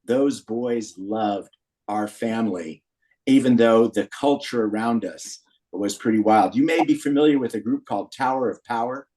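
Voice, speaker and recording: A man's voice: medium pitch, normal speed, mellow. An American man in his late sixties telling personal stories as a podcast guest in an earnest, anecdotal way. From Berkeley, California, USA. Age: 60s. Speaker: podcast guest, founder of a men's community group. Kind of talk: personal storytelling in a podcast interview.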